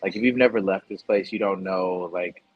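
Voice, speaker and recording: Rambling, laughing man with a lowish voice. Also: normal speed, slightly rough, highs cut off. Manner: rambling, self-interrupting, laughs often. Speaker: host interviewing a stranger. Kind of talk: casual street conversation. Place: outdoors at the beach.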